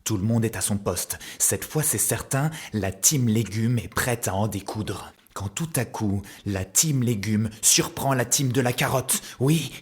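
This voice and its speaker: A man's voice: lowish voice, a little slow, hardly any pauses. A man narrating a children's cartoon story in a mock-epic, theatrical manner.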